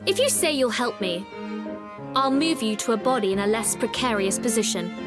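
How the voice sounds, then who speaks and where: A woman's voice: fairly high pitch, expressive, moderate pace, over loud music, lightly acted. A woman playing a bold, sly young apprentice witch, commanding and haughty, in a video game. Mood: sly, confident. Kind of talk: video game character acting.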